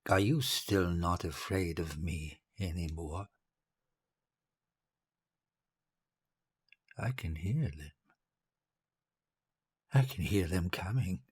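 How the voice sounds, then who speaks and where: An actor playing a dying, deranged dictator, cruel, grandiose and childish, in a theatrical audio drama monologue. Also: male voice, low-pitched, slightly rough, full character voice. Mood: fearful, hushed. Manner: theatrical, volatile, slow and deliberate, swinging from hush to bellow.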